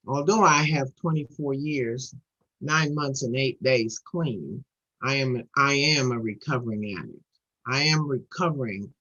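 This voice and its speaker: Husky woman sharing earnestly. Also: extremely low pitch, a bit bright. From Trinidad and Tobago. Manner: earnest, repeats words while building momentum. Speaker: guest speaker at a recovery meeting. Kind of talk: personal recovery share. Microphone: video call. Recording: dull lo-fi video call audio.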